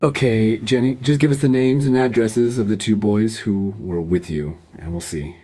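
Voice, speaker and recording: A lowish, mellow and husky voice of a businesslike investigator in a radio crime drama. He speaks firmly, as in a questioning. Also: moderate pace. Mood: firm, reasoning. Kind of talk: radio play acting.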